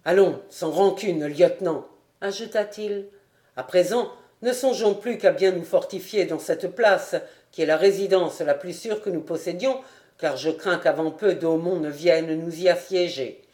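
A woman's voice: lowish voice, a little slow, echoey room, lightly acted. A woman reading as a furious proud baron. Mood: appeased. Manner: loud, shouting in rage.

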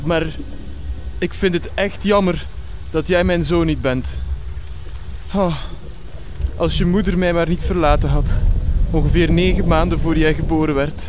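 An actor playing a genteel, sentimental and pompous master fat-renderer with a Flemish accent in a radio comedy drama. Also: male voice, a little high, steady tone, measured pace, long pauses, noisy background, close to an everyday voice. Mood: tender, wistful.

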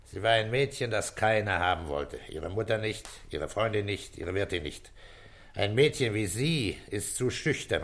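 An actor playing a calm, weary, shrewd senior police inspector in an old German radio crime drama, speaking with deliberate, paternal authority. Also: male voice, lowish voice. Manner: deliberate, authoritative, explaining step by step.

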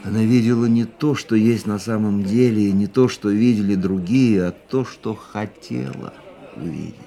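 A male actor playing a world-weary man in a radio play, musing tenderly on the woman he loves in a measured, reflective monologue. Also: fairly low pitch, dark tone, close to an everyday voice. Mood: wistful. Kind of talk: literary first-person monologue in a radio play. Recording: music under the voice.

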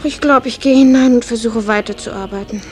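Woman as a high-voiced, dreamy teenage girl. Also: a little fast, darkish tone, soft background music, lightly acted. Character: dreamy, studious small-town teenage girl. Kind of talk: German stage-play radio drama acting.

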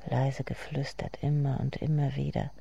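Inner voice for radio drama: hushed, brooding, incantatory, dark with gravelly fry. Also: mid-range voice, flat delivery, normal speed, dull audio. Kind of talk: poetic radio drama monologue.

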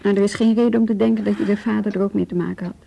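An actress playing a calm, practical policewoman in a radio drama, talking in a measured, reassuring way. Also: medium pitch, vocal fry, lo-fi sound. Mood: calm, probing. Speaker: actor in a radio play. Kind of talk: naturalistic radio drama dialogue.

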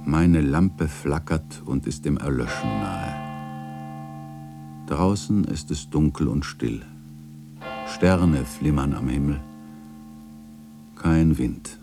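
An actor playing a melancholy old chronicler in a radio drama, narrating in a solemn, lyrical, gravelly manner. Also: male voice, a bass voice, animated, slow, long pauses, music in the background, heavily acted. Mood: solemn farewell. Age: older. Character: melancholy old male chronicler looking back on his life.

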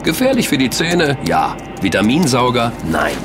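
An actor playing a sceptical, excitable man who protests in colloquial, incredulous dialogue in an audio drama. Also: mid-range voice, moderate pace, music in the background. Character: sceptical, excitable male sugar lover named Kim.

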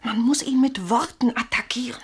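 An actress playing a bold, practical, impatient woman in a German radio play, pointed and insistent, with a husky, breathy voice. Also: fairly high pitch, moderate pace. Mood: insistent, challenging.

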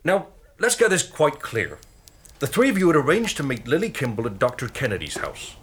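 An actor playing a methodical, official police investigator in a radio drama. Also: male voice, medium pitch, very animated, brisk, fairly bright tone, breathy edge. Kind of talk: British radio drama acting.

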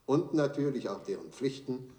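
A man's voice: mid-range voice, very animated, warm tone, close to an everyday voice. Troubled judge, male actor: formal, solemn, strongly modulated delivery, echoing courtroom, radio drama. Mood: grave. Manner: formal, grave, deliberate phrasing with emphatic swells. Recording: echoey courtroom broadcast sound.

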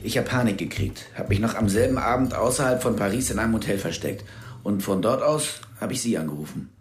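A man's voice: fairly low pitch, a little fast, lightly acted. An actor playing a frightened male informant who confesses hesitantly in a radio drama. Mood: nervous. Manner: nervous, hesitant, pleading. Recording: roomy radio play with music.

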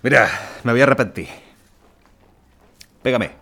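An actor playing a gruff, swearing, reluctant man. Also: mid-range voice, unhurried, sharp tone, a little hiss or hum.

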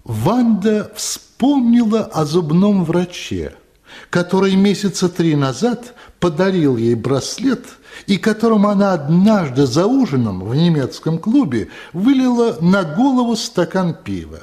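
A man narrating a literary story for a radio play, telling it expressively with dramatic pauses. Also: sits high, big pitch swings, dark tone.

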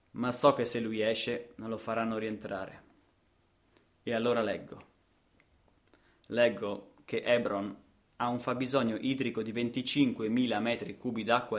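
Male actor for a radio theatre monologue; rhythmic, dramatic storyteller delivery. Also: medium pitch. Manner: dramatic, rhythmic delivery with repetitions and rhetorical questions.

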